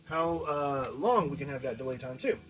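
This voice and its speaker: A man's voice: medium pitch, expressive, normal speed. A man talking informally through a guitar effects pedal demo, explaining casually and hands-on as he goes. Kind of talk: informal gear demo talk.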